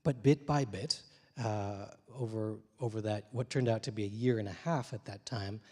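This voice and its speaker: A man in his forties, a little hoarse, telling a personal story on a theater stage into a handheld mic, reflective and gently humorous with many small pauses and fillers. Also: fairly low pitch. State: slightly hoarse from many interviews. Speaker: translator and poet. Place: theater stage, dark room. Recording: long reverb of a theater hall.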